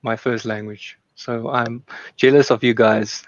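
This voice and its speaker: A man whose first language is Afrikaans, speaking English haltingly in a casual debate on a call. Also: medium pitch, level tone, dull audio. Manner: hesitant, halting, laughs nervously.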